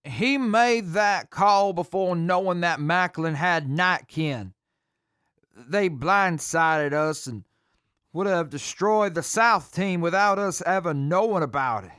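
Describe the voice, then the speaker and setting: A man playing a defeated, guilt-ridden former leader in jail, halting and self-blaming, in an audio drama. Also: on the high side, fairly bright tone, clear, resonant tone, no room sound, clean studio sound. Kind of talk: post-apocalyptic audio drama acting.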